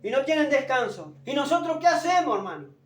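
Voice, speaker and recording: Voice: sits high, sing-song, brisk. Fervent preacher, strained throat, emphatic repeated exhortations, far from the mic, music behind. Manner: emphatic, exhorting, repeats phrases and addresses listeners as brother. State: strained sore throat, speaking forcefully. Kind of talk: fervent evangelical sermon. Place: echoing room, preaching unamplified. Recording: roomy, distant pickup without a microphone, music behind.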